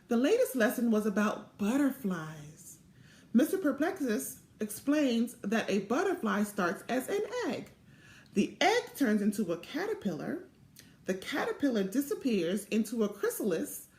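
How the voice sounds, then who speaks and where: A middle-aged woman reading a children's storybook aloud in an expressive, deliberate way. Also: on the high side. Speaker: literacy program co-chair reading aloud. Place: small indoor office or home room. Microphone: device mic at arm's length. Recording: echoey room sound.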